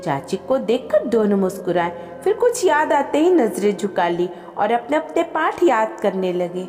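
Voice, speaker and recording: Female storyteller, slightly high voice, expressive scripted narration, long reverb, music underneath. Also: a little slow. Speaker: storyteller and channel host. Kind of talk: scripted narration of an emotional family moral story. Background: background music.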